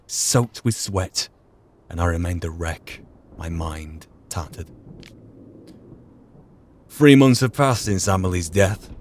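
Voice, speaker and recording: A man's voice: low voice, a little mellow. Male reader as anguished elderly professor: theatrical journal narration, formal diction, faint noise. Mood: grief, despair. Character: tormented, lonely old male professor reading his journal. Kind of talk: dramatic journal reading.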